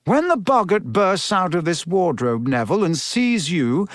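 A man voicing a kindly male schoolteacher in an audiobook, patient, cheerful and gently amused as he instructs. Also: high-pitched, big pitch swings, normal speed, no echo, voice pushed up. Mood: amused, instructing. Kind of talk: audiobook character voice.

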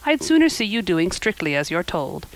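A woman voicing a stern, practical older woman in a storybook, speaking in a brisk, scolding, matter-of-fact manner. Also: on the low side, very animated, poor audio. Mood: brusque. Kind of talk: audiobook character acting.

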